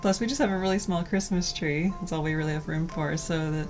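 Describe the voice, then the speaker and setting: A woman from California in her thirties, co-hosting a cozy lifestyle video in a soft, slow, gentle way. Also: sits low, steady tone, soft background music. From California, USA. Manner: soft, gentle, slow delivery with light laughs. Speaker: co-host of a cottage lifestyle channel. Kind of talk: casual scripted chat to camera.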